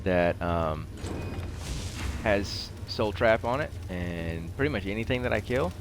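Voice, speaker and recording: A man's voice: on the low side, lively intonation, measured pace, background noise. A middle-aged man giving casual commentary as he plays a video game, rambling and talking to himself. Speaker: gaming video host.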